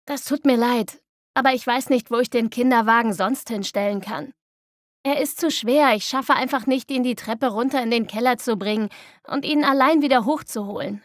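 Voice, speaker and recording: A woman playing an apologetic young mother in a clearly articulated language-lesson dialogue, speaking politely. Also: fairly high pitch, moderate pace, no echo, clean studio sound, natural voice.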